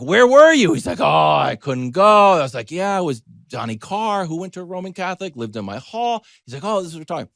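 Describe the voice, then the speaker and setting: A man's voice: medium pitch, dry recording. American man telling sports stories, dry sound. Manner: animated, digressive, self-deprecating. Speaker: sports TV commentator. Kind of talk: conversational sports talk storytelling.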